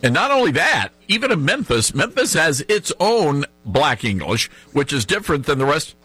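A man with a mild Tennessee twang hosting a talk radio show, chatting in an animated, folksy way. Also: a little high, moderate pace, breathy edge, dry recording, faint music behind. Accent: Southern US, Tennessee. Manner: animated, folksy, laughs easily. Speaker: radio host.

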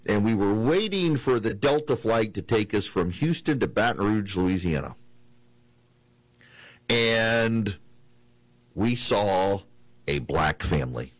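A man's voice: fairly low pitch, dry recording, rough recording. A man from the American South hosting a political talk radio show, talking in a rambling way with many restarts.

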